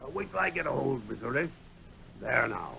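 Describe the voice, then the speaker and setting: Creaky old western sidekick. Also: male voice, medium pitch, very animated, a little fast, sharp tone, full character voice. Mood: anxious, surprised. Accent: American. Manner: folksy, rustic drawl. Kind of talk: 1930s-40s radio western acting. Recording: noisy vintage radio recording.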